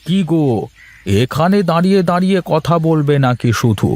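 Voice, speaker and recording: A man voicing a warm, excitable village elder brother in a cartoon story, with a homely, fussing manner. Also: fairly high pitch, moderate pace, lightly acted. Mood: welcoming.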